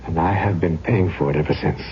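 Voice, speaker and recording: An actor playing a brooding, possessive male ghost in a radio drama, theatrical and halting with intense dramatic pauses. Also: sits low, natural voice. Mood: bitter confession. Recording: dull, noisy old radio broadcast.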